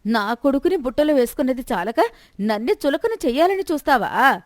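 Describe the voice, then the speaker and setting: A sharp-tongued older mother-in-law played by a woman narrator from a village storybook. She is theatrical and two-faced, in a fairly high voice recorded dry. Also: lively intonation, a little fast, no room sound. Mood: angry scolding. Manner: theatrical, scolding or syrupy.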